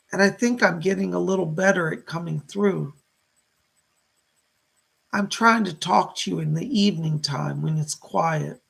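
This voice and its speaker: Man channeling a weak guy ghost, super gravelly n breathy, halting, sorry and tender, seance thing. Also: on the high side, heavily acted. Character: Thomas, weak-voiced remorseful male spirit of a loved one. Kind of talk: spiritualist trance mediumship.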